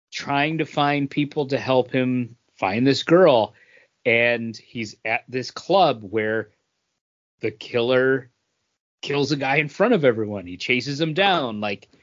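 A man co-hosting a casual review podcast, speaking conversationally and often pausing mid-sentence to find his words. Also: mid-range voice, a bit bright, muffled recording. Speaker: podcast co-host reviewing comic books.

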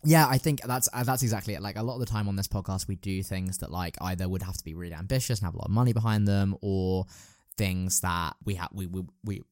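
Man, lower voice, podcast host. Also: fast, darkish tone, dry recording, studio quality. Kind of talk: casual chat.

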